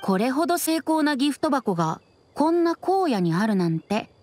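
A man voicing a playful, poetic bard boy in an anime game, with a light androgynous voice and a smooth, teasing manner. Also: extremely high pitch, very animated, normal speed, darkish tone, no room sound. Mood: intrigued. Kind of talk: Japanese anime game voice acting.

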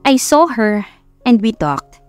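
A woman's voice: on the high side, sing-song, slow, darkish tone, clear, resonant tone, soft background music. Woman voicing a concerned Filipino mother. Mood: cautious. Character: gentle, concerned older wealthy mother of grown son.

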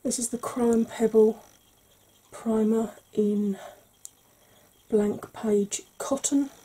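A woman in her forties, a makeup vlogger, talking through an ASMR makeup tutorial slowly and gently in a soft, breathy voice that often drops to a whisper, recorded at home. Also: fairly high pitch, fairly even intonation, poor audio. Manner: slow, gentle, patient explaining. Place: small home room.

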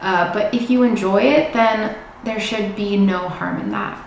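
Young woman with a gravelly edge, echoey room. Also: medium pitch, a little slow. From United States. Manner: chatty and informative, with fillers. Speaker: vlogger, psychedelic research student. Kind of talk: casual talk to camera. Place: bright room indoors. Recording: very echoey room, faint hiss.

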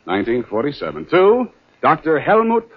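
An actor playing a wry, wisecracking hard-boiled private detective in a 1940s radio drama. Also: male voice, a little high, huge pitch swings, moderate pace, husky, heavily acted. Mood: dry, businesslike. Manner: wisecracking, glib, sardonic. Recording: dull 1940s radio recording.

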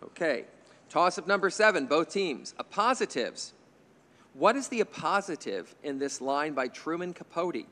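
Joking middle-aged quiz host, reverberant. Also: male voice, a little high, animated, normal speed, bright, forceful tone. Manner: chatty, jokey asides, chuckles at his own remarks. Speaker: quiz bowl moderator. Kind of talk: reading quiz questions with light banter. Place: indoor TV studio stage. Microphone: desk microphone on a stand.

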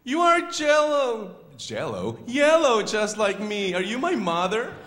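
Man putting on a high, pleading little bird. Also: sing-song, fairly bright tone, exaggerated voice. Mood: hopeful, pleading. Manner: drawn-out, pleading exclamations. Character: little lonely baby bird searching for a mother, plaintive. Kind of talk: children's storybook character voice. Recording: echoey hall.

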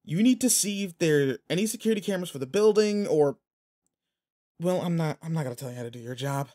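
A man acting in an audio roleplay as a protective, teasing, rough-edged but kind pretend boyfriend, talking intimately and casually. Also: fairly high pitch, animated, fast, mellow, lightly acted. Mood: firm, uneasy.

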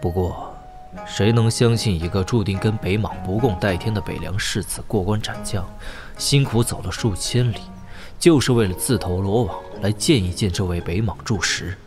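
Low-voiced wary young nobleman played by a man. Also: normal speed, natural voice. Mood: wary, musing. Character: wary young nobleman wanderer musing to himself. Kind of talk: audio drama inner monologue. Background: background music.

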